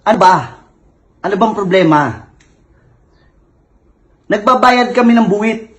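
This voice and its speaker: High-voiced male comic ranting with a thick lisp, aggrieved and insistent. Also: expressive, a little slow. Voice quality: heavy lisp, s turned to t. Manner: angry, complaining, repetitive. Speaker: self-styled actor on social media. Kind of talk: comic rant.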